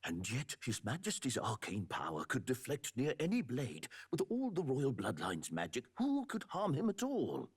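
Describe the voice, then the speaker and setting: An agitated nobleman of the royal court in a game. His husky, mid-range voice swings widely with formal disbelief. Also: medium pitch, wildly swooping pitch, moderate pace, hardly any pauses. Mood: disbelieving. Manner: formal, dramatic. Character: incredulous, agitated male royal court official. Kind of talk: dramatic video game acting.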